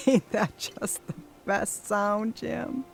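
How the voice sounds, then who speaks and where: An actress playing a dry, sarcastic, tired wife who often drops to a whisper. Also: female voice, fairly high pitch, creaky, heavily acted. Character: wry, weary wife on a road trip. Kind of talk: audio drama acting.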